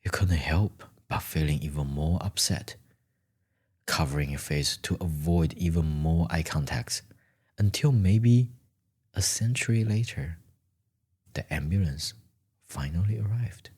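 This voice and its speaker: A man with a very low voice narrates a relaxing second-person story in an ASMR style. His tone is husky and breathy, sometimes sinking almost into a whisper. He phrases things gently and deliberately, leaving pauses between short phrases.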